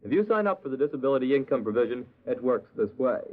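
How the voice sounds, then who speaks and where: A man's voice: mid-range voice, big pitch swings, fast talker. A man reading a scripted 1950s radio public service announcement in an explanatory manner. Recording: thin, phone-like vintage radio recording.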